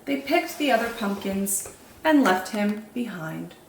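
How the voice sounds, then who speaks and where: A woman with a medium-pitched voice narrates a picture book for small children. Her reading is expressive and carefully phrased. The room is noticeably echoey, with a little noise behind her. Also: unhurried, gravelly. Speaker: children's storytime host and reader.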